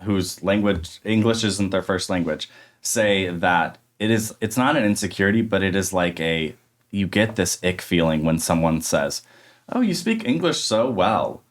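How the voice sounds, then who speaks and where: Deep-voiced young male podcast host. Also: lively intonation, moderate pace. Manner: thoughtful, hesitant, with many restarts. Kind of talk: casual interview conversation.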